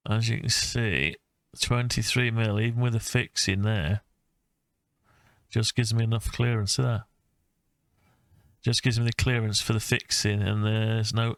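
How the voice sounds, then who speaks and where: Man, low creaky voice, flat intonation, hesitant, thinking aloud, informal design walkthrough. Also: no room sound. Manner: thinking aloud, hesitant, halting mid-phrase. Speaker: hobbyist maker presenting own design project. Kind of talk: informal screen-recorded CAD design walkthrough.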